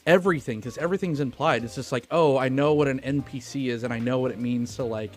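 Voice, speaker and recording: A man's voice: medium pitch, fast talker. Slangy man chatting over faint music. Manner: informal, slangy. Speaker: film podcast co-host. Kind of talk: casual film discussion.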